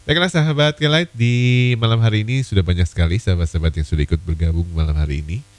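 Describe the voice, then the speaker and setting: A man hosting an evening radio request show, a little hoarse from a cold, chatting casually with many fillers. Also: fairly low pitch, fast. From Indonesia.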